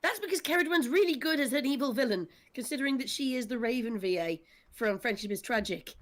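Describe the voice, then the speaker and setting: A woman with a fairly high voice hosts a casual online panel. She is talkative and emphatic, chatting informally as she steers the conversation. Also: expressive, fast talker, a bit bright. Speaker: host of an audio drama discussion panel. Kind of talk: casual livestream chat.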